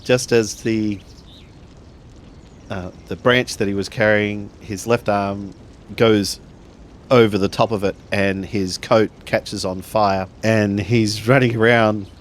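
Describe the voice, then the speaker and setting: A man narrating a tabletop roleplaying game in a halting way, building his sentences bit by bit. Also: lowish voice, steady tone, slight background noise. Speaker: tabletop RPG player.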